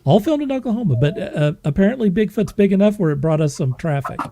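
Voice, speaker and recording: A man's voice: sits high, animated, quick pace, warm tone, a little hiss or hum. A man hosting a podcast in casual, chatty banter. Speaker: podcast host.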